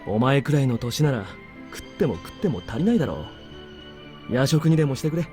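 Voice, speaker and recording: A man acting as a breezy military officer in a visual novel, teasing and informal. His delivery is brisk and swings freely up and down, with faint music underneath. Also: mid-range voice, very animated, dark tone, natural voice. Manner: casual, teasing. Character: easygoing, joking male military superior officer. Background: game music.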